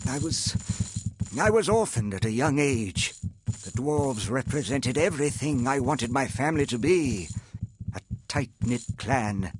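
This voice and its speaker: A man's voice: mid-range voice, husky. A man playing a wealthy older industrialist in a video game, proud yet haunted, speaking formally and articulately with a wistful storyteller's manner. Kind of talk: scripted video game dialogue.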